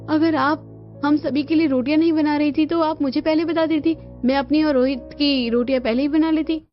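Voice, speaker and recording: A woman's voice: high voice, fairly even intonation, a little fast, muffled recording, pitched above the performer's own voice, natural voice. A woman voicing an envious, put-upon younger sister-in-law who complains pointedly in a cartoon family drama. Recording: band-limited audio with music behind.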